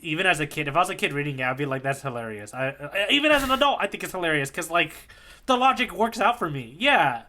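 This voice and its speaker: Man, slightly high voice, bright tone, podcast co-host, informal comics talk, rambling with repeated words. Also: expressive, fast talker. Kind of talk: casual chat about a manga.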